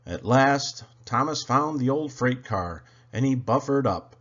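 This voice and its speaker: A man reading a children's story aloud in an expressive, dramatic, deliberate way. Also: mid-range voice, highs cut off. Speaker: storybook reader.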